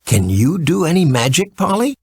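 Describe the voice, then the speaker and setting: A man's voice: a little high, big pitch swings, normal speed, darkish tone, slightly rough, dry recording, voice pushed up, full character voice. A man voicing an earnest, polite little girl in a children's storybook, exclaiming and sincere. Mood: despondent.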